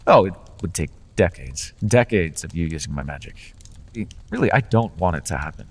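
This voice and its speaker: A man's voice: low voice, lively intonation, moderate pace, breathy edge, big-room sound, exaggerated voice. A man voicing a playful male fae patron in an ASMR fantasy roleplay, talking in a charming, teasing, conversational way with small restarts.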